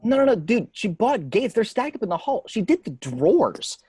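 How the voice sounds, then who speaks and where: Woman as a wry office guy, lively pitch. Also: normal speed, breathy edge, no echo. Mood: exasperated griping. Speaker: table read host and actor. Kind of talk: amateur screenplay table read. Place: at home, remote table read.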